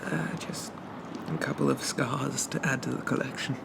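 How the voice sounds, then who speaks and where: A man playing a shy, polite undead zombie in a soft ASMR roleplay, stammering and hesitant in a gently formal way. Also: medium pitch, fairly even intonation, moderate pace, gravelly, background noise. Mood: timid, reassuring.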